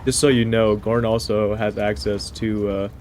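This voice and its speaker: A man playing a tabletop game, role-playing casually in a measured, explanatory way. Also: lowish voice, level tone, a little mellow, slight background noise. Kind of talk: casual in-character role-play. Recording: music playing under the voice.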